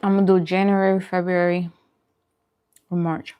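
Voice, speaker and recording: A woman chatting casually and informally as she hosts a food video, answering viewers in an unhurried way. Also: on the low side, flat delivery, clear, resonant tone, muffled recording. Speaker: host of a vegan food channel, eating on camera. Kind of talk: casual chat while eating, mukbang livestream.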